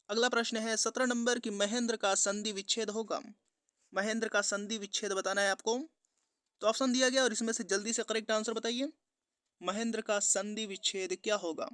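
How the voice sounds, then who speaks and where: High, clear-voiced man teaching a quiz. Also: pushed and bright, no room sound, clean studio sound. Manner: methodical, repetitive instructional delivery. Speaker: exam-prep teacher. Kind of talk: quiz-style lesson narration, reading questions and giving answers.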